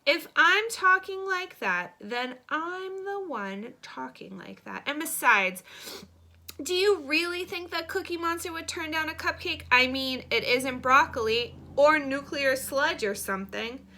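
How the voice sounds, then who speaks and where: A woman voicing an excitable, goofy and stubborn schoolboy in a storybook, insistent and clowning. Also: sits high, moderate pace, hardly any pauses, pitched above the performer's own voice. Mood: mock-serious insistence.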